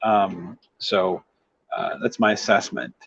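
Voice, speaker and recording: A man's voice: lowish voice, lively intonation, slow pace, creaky, breathy edge, no room sound. Rambling man chatting on a dull-sounding livestream. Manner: rambling, frequent "you know" verbal tic. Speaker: livestream host. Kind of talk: casual chat with live viewers.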